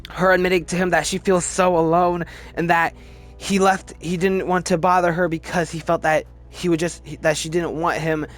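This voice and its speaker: A man giving casual, opinionated commentary as a video host, emphatic and halting, often restarting his sentences. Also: a little high, flat delivery, soft background music. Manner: chatty, halting, restarts sentences mid-word. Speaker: movie review video host. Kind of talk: casual ranked-list movie commentary.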